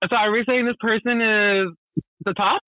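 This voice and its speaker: High-voiced man, thin phone-like sound. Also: normal speed, background noise. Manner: relaxed, many fillers like "you know". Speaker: podcast co-host. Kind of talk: casual podcast chat.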